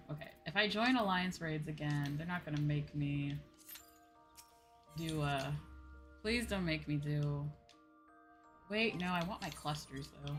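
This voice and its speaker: A woman livestreamer chatting casually as she plays, emphatic and playfully self-deprecating, with an animated way of talking. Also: sits low. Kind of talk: casual gaming stream chat. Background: music.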